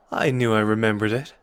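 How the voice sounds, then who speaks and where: A man's voice: on the low side, clean tone, no echo. A man playing a cocky, playful young sorcerer who is protective underneath, talking casually and intimately to the listener in an ASMR roleplay. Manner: casual, teasing, intimate and conversational.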